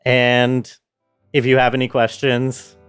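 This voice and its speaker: Slow-paced man giving a sound design walkthrough. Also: medium pitch, completely flat delivery, fairly bright tone, soft background music. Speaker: sound designer presenting his own work. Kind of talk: casual tutorial walkthrough.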